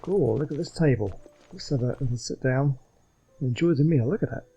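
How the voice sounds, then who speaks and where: A man's voice: medium pitch, a little fast, dark tone, breathy edge, faint music behind, rough recording. A man giving casual commentary as he plays through a video game. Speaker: gaming host.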